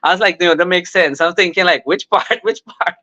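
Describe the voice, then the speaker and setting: Bright, laughing man on a dull line. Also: sits high, fairly quick. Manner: laughing, rambling, with many restarts. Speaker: musical artist and guest. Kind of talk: casual storytelling on a remote interview. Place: at home.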